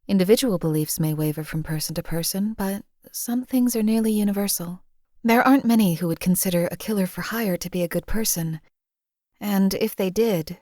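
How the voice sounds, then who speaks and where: A woman voicing a numb, detached female hired killer in a game, who talks in a measured, dry way. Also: on the low side, darkish tone, no room sound, close to an everyday voice. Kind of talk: video game companion voice lines.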